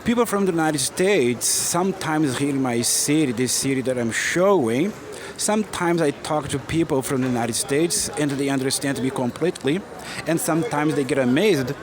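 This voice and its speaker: A man's voice: fairly high pitch, moderate pace, a crowd in the background. A Brazilian man, a native Portuguese speaker, giving an earnest, encouraging English lesson outdoors and repeating his key phrases. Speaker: English teacher. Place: busy city street outdoors. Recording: noisy outdoor street recording. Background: street noise and traffic.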